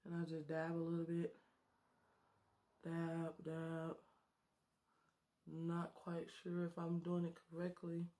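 A woman's voice: fairly low pitch, completely flat delivery, slow pace, pauses often, warm tone. A woman in her thirties chatting and joking with viewers while doing her makeup, recorded close on a webcam in a small bedroom. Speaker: beauty vlogger. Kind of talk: casual makeup tutorial chat.

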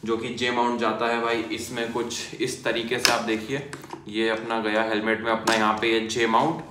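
A man's voice: mid-range voice, bright, forceful tone, slight background noise. A young man chatting casually to viewers while demonstrating a helmet camera setup, calling them bhai and yaar. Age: young adult. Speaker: motovlogger. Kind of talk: casual product demo. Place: small indoor room at a desk. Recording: echoey room.

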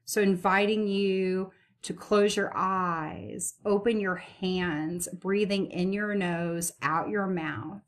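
Lady doing guided meditation, really slow n flat, soothing, gentle, lots of repeated phrases. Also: mid-range voice. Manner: gentle, unhurried repetitive phrasing with pauses.

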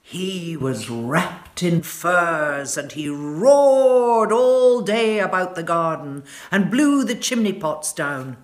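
A woman in her sixties reading a children's story aloud in a measured, dramatic way. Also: on the low side, lively intonation. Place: furnished living room, indoors.

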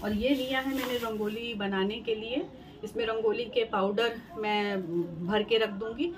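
A woman's voice: on the high side, fairly even intonation, a little slow. A woman in her thirties chatting casually to the camera in a home vlog, showing things one by one with many fillers. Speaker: home vlogger. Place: bright room at home, later a balcony. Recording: home phone recording with clear background noise.

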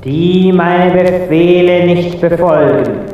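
A man's voice: high-pitched, fairly even intonation, distant mic, muffled recording, slight background noise. A man playing a haughty, pompous ruler of the underworld in a radio play, with heavy added reverb. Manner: pompous, commanding, drawn-out. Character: haughty great master ruling the underworld. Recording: echoing 1980 vinyl recording, loud music.

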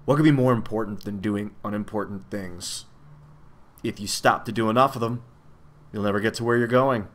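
A man's voice: lowish voice, normal speed, lightly acted. A man voicing a sly, villainous demon of petty tasks in a storybook, with a theatrical, menacing and deceitful manner. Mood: sour, menacing.

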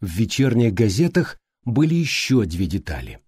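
A man reading a mystery audiobook as scripted narration, with measured, clearly phrased delivery. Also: lowish voice, big pitch swings, a little mellow, dry recording.

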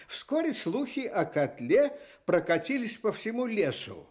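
A man telling a children's fairy tale for Soviet radio in an expressive storytelling style. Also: high-pitched, measured pace.

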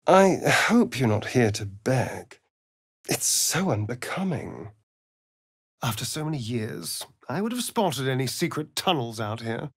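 An actor playing a sardonic, vain vampire rogue in a video game, with an arch, theatrical, drawling delivery. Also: male voice, mid-range voice, sing-song, measured pace, husky, dry recording, clean studio sound, heavily acted. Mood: sly, dry. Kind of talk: video game character lines.

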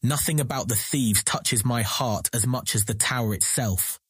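An actor playing a captured thief, earnest, proud and eloquent, speaking formally and measuredly in a fantasy audio drama. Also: male voice, mid-range voice, moderate pace, fairly bright tone, breathy edge, no room sound. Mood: solemn sincerity.

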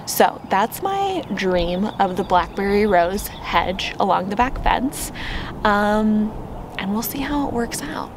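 A woman's voice: medium pitch, animated, slow pace, background noise. An American woman in her thirties chatting expressively and informally to camera as she gives a casual vlog tour outdoors. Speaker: gardening vlogger. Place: outdoors in a suburban backyard.

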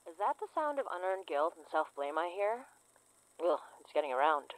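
Low-voiced, wry young investigator. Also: female voice, very animated, normal speed, poor audio. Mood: wry, teasing. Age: 20s. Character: wry, teasing, trauma-worn young woman investigator with psychic senses. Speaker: lead character in a horror audio drama. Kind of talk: naturalistic audio drama acting.

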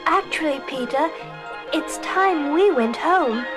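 Storybook little girl, adult actress: high, proper, earnest, proud yet gentle. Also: animated, slow pace, over music. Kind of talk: children's read-along storybook acting.

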